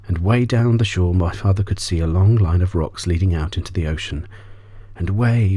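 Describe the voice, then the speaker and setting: A man reads an audiobook as an ASMR bedtime story, soft-spoken and often trailing off into a whisper. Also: low voice, breathy edge, no echo. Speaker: audiobook reader.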